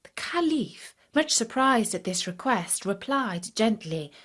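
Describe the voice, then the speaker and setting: A woman's voice: fairly high pitch, very animated, a little slow, fairly bright tone. A woman reading a scripted fairy tale for children as a storyteller.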